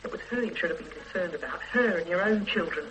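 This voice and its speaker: A woman's voice: lowish voice, expressive, quick pace, a bit bright, a little hiss or hum, rough recording. A woman playing a guarded, bitter grown daughter in a radio drama, speaking in a cool, clipped, reproachful way.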